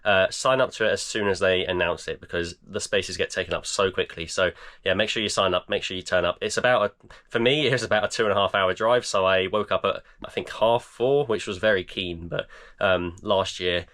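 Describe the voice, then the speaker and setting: A man in his thirties, croaky and tired, chatting casually in a vlog with lots of fillers. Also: sits low, steady tone, fairly quick, a bit bright. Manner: tired, rambling with many fillers. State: croaky, voice almost gone. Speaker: eBay reseller vlogger. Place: home garage.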